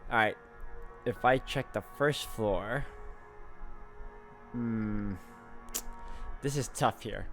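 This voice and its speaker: A man's voice: lowish voice, very slow, pauses often, fairly bright tone. A man doing a let's play, reading a visual novel aloud and adding casual asides as he thinks aloud. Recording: music behind the voice.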